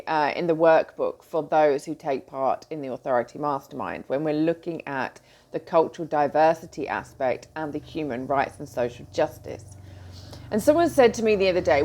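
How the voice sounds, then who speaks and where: A middle-aged woman with a British accent talks in a slightly low voice. She speaks casually and with animation, filling her speech with ums and friendly asides. She sounds like a coach addressing her followers. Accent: British English. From Britain. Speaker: coach and host. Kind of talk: casual talk to camera.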